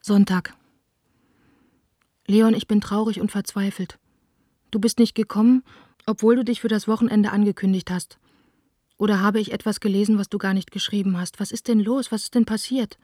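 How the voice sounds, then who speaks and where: Radio drama heroine, played by a woman: young adult, mid-pitched mellow voice, intimate and earnest, dry studio. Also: steady tone, moderate pace, pauses often, no echo, close to an everyday voice. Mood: sad, reproachful. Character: lovelorn, reproachful young woman, theatre director. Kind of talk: radio play letter reading.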